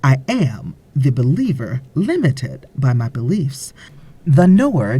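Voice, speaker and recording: A woman reading a spiritual audiobook as scripted narration, in a measured, deliberate way with frequent pauses. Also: a bass voice, mellow, slightly rough.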